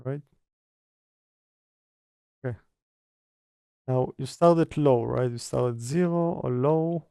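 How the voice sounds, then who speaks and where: Slow, gravelly man talking through software steps. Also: medium pitch, dry recording. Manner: hesitant, thinking aloud while working. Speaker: tutorial presenter.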